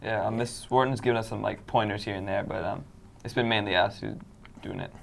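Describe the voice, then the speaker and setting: A man's voice: fairly low pitch, fairly even intonation, brisk, creaky, slight background noise. A teenage male student actor talking casually in an interview. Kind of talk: casual chat.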